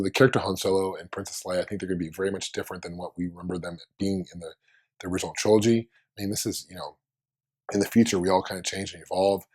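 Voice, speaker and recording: Hoarse low-voiced man musing casually. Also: a little mellow. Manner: rambling, thinking aloud with many restarts. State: hoarse, under the weather. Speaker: fan video host. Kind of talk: casual fan commentary.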